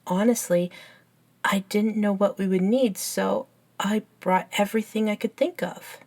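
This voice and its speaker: A woman voicing an eager, curious, nerdy young best friend in a scripted ASMR roleplay, chatting and explaining in an unhurried, softly enthusiastic way. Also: mid-range voice, fairly even intonation. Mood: earnest, curious. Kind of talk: ASMR roleplay, scripted one-sided conversation.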